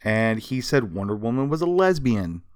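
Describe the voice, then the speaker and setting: An animated male podcast co-host tells stories in a casual, comedic style, swearing freely. His pitch swings widely as he goes, and the sound is dry and close, with very little room around it. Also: moderate pace, no echo. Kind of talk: casual comedic history chat from notes.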